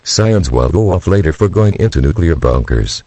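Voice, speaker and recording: A man reading out an emergency broadcast in a flat, monotone way. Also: low-pitched, normal speed, no echo. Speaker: emergency alert announcer.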